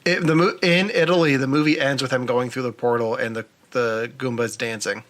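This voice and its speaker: A man's voice: medium pitch, fairly even intonation, a little fast, a bit bright. A man co-hosting a podcast, discussing films in a casual, conversational style. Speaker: podcast co-host. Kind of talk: casual chat about films.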